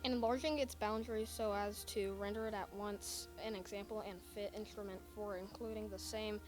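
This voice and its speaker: Woman reading old formal text out loud, mid pitch, bit of music underneath. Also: steady tone, moderate pace. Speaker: reader. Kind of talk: read-aloud historical document.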